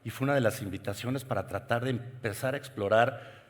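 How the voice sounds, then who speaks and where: A man's voice: mid-range voice, brisk. A middle-aged man from Mexico with a Mexican Spanish accent, giving a personal conference keynote talk to a large audience. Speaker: keynote speaker. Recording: long hall reverb.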